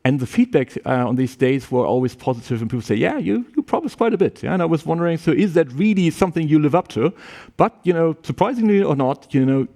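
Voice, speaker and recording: A man's voice: a little high, animated, fast, mellow. Man giving a chatty open-day talk. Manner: loose and chatty, many restarts and "yeah?" tags. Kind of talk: informal speech at an open day.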